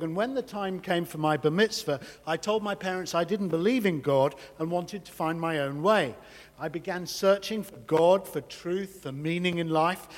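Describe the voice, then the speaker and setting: High-voiced man from England, fluent. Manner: fluent, measured. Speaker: author. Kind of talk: prepared testimony. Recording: long hall reverb.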